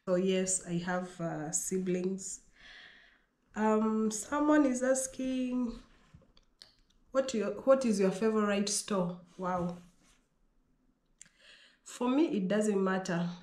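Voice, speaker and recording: A woman's voice: mid-range voice, a little mellow. A Kenyan woman in her thirties, a native Pokomo speaker, answering viewer questions in a vlog, reading each question aloud before she answers it. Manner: reads questions aloud, then answers them herself in an unhurried way. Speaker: vlogger. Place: small bedroom indoors. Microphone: fixed camera at desk distance. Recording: echoey bedroom.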